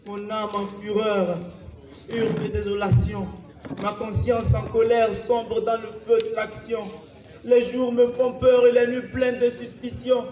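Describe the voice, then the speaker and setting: A man's voice: very high voice, level tone, a little slow, crowd noise. A man performing theatrical slam poetry on a live stage, declamatory, intense and anguished, with insistent repetition. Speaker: slam poet. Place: live festival stage, picked up from a distance. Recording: echoey live stage, distant mic. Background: flute music.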